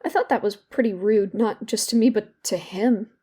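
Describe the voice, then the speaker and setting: A woman playing a regretful, hurt young woman who speaks haltingly in an intimate audio drama confession. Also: mid-range voice, mellow, husky, natural voice. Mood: regretful, subdued. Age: young adult. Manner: hesitant, halting, with repeated words and pauses. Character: regretful, wounded young woman confiding in therapy.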